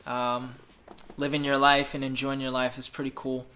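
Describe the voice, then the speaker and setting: A man's voice: mid-range voice, monotone, normal speed, sharp tone, clean tone. A man in his thirties hosting a casual live Q&A, chatty and upbeat, reading viewer comments and often repeating himself. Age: 30s. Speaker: livestream host answering viewer questions.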